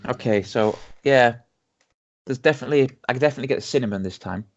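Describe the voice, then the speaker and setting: A man with a Yorkshire accent co-hosting a casual whisky tasting podcast. He reads tasting notes slowly and teases his friend. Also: medium pitch, soft background music. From Yorkshire, England. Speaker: podcast co-host. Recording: dull lo-fi home podcast audio.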